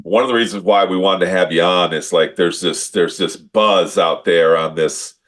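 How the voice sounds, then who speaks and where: Host: low-voiced man, casual, meandering questions. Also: steady tone, moderate pace, fairly bright tone. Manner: rambling, hesitant questions with fillers. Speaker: podcast host. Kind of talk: conversational podcast interview.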